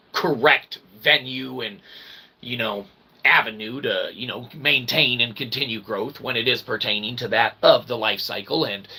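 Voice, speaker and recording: Gravelly, very bright-voiced man lecturing informally. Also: medium pitch. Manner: wordy mock-academic phrasing with frequent "all right, okay" check-ins. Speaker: online philosophy show host. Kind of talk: rambling informal monologue, tongue-in-cheek lecture.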